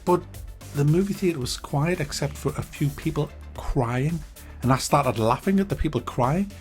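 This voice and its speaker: A man giving a ranting movie review, animated, sarcastic and full of swearing. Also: fairly high pitch, moderate pace, faint music behind.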